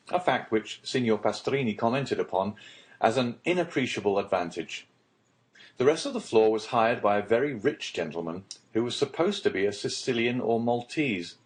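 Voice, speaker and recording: A man reading literary narration as an audiobook narrator, in a formal and measured storytelling manner. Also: medium pitch.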